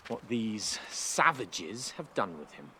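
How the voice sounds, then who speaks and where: An actor playing a smug, cruel young Roman prince in a video game, mocking and imperious. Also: male voice, lowish voice, sing-song, takes it slowly, pushed and bright, slight background noise, exaggerated voice. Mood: cold, imperious. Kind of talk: video game cutscene acting.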